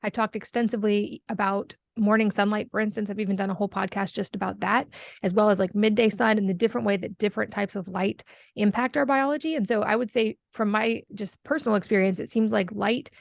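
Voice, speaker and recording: A woman's voice: medium pitch, fairly even intonation, fast, gravelly, dry recording. A woman hosting a podcast, asking fluent, friendly interview questions. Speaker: podcast host.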